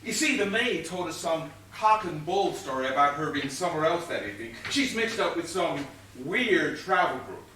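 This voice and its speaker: An officious police inspector played by a man with a high, sharp voice in a comic stage whodunit. He questions drily and briskly, with exasperation underneath. It is recorded from far off in a very echoey space. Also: animated. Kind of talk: comic stage play acting. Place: stage of a small theatre. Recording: distant echoey theatre recording, faint noise.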